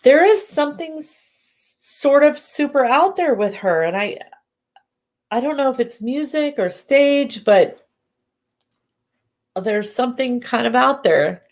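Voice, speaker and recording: A woman doing live psychic readings who sounds congested and talks in halting bursts, often restarting and correcting herself. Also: high voice, lively intonation, a little mellow. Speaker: psychic medium. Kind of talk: live-stream readings, casual chat.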